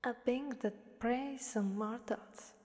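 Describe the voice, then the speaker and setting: Girl doing a creepy hungry demon lady for asmr, super slow breathy whisper, teasing, big echoing reverb. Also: on the high side, darkish tone. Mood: sly, boastful. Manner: slow, teasing, menacing, drawn-out phrasing. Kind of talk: ASMR roleplay monologue. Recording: long hellish reverb.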